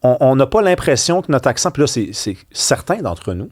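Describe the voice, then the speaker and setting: A male talk-radio host with a Québécois French accent, whose pitch rises and falls a great deal as he argues. He asks rhetorical, pointed questions. The recording is dry and close, with no room sound. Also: big pitch swings, moderate pace, dry recording. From Quebec.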